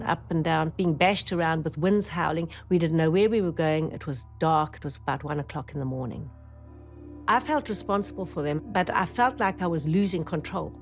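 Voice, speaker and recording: A middle-aged woman speaks in a slightly low register as a documentary interviewee. She retells a survival ordeal in a vivid, urgent way, often cutting herself off and starting over. Faint dramatic music plays beneath her voice. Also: a little mellow. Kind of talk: documentary testimony. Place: dark indoor interview studio.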